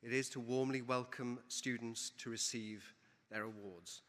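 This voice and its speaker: Bright-voiced man in a hall. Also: medium pitch, steady tone, unhurried. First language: Welsh. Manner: playful, theatrical and eloquent, switching between Welsh and English. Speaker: university provost presiding over a graduation. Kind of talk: ceremonial welcome speech. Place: large ceremony hall. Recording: long hall reverb.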